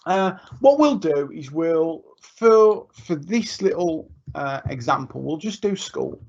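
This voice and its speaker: A man giving a relaxed, informal live software tutorial to teachers, with frequent ums and restarts. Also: on the high side, slow. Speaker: education technology trainer. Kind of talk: live screen-share tutorial. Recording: muffled lo-fi online stream audio.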